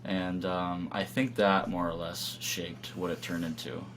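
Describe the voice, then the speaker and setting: Male band guest, lowish voice, casual chat, faintly noisy humming recording. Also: level tone, measured pace, a bit bright. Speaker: band guitarist being interviewed. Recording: clear background hum and noise.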